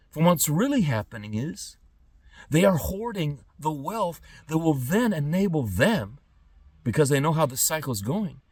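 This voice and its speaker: A middle-aged man speaking an unscripted podcast monologue in emphatic, halting phrases with pointed pauses. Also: on the high side, dry recording, far from the mic. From born in Trinidad and Tobago, lived in California. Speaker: podcast host.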